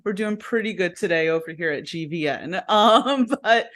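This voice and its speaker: A woman hosting a casual review chat, chatty and quick to laugh. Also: fairly low pitch, lively intonation, a bit bright. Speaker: host.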